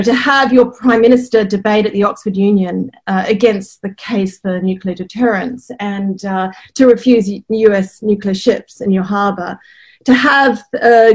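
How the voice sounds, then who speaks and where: A middle-aged woman from New Zealand, an academic panelist speaking reflectively in a panel discussion. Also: on the high side. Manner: reflective, explanatory, with fillers. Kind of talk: panel discussion over video call.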